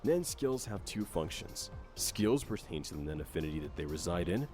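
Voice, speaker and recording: Low-pitched man narrating a game explainer. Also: big pitch swings, normal speed, a little mellow. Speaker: narrator of a game update video. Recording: faint music under narration. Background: faint music.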